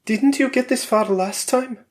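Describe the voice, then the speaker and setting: Suspicious worried wife, man doing a high voice, pressing questions, storybook reading. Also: a little slow, close to an everyday voice. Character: anxious suspicious Russian wife.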